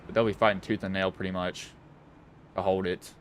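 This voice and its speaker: A man with a Southwest Virginian accent calls an online race in a low voice. He drifts between play-by-play and muttering to himself, and he often stops to correct his own words. A faint hum runs under the recording. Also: little pitch movement, fairly quick. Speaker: sim racing commentator. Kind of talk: play-by-play racing commentary.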